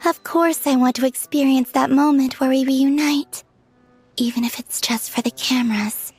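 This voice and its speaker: A gentle, soft-spoken young woman in a video game, high in pitch and hesitant, her words at times fading into a whisper. Quiet music sits faintly behind her. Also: steady tone, moderate pace, husky, no echo.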